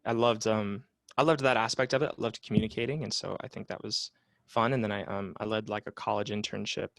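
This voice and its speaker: A man's voice: on the low side, steady tone, a little fast, gravelly, a bit bright, dry recording. A man in his late twenties, a psychotherapist, talking reflectively in a casual interview with plenty of fillers and restarts. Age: 20s. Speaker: psychotherapist guest.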